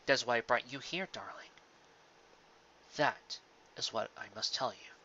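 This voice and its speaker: A man voicing a gentle, sad pony father in a storybook reading, speaking in a hushed, tender, earnest way. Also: mid-range voice, unhurried, muffled recording, slight background noise. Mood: solemn, tender.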